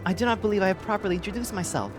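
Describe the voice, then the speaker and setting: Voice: mid-range voice, very fast. Streamer doing a stiff mock-formal servant voice. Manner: exaggerated mock-formal, stumbling over names. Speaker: streamer in a game party. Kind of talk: voicing a polite servant with a fake accent. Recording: music playing behind.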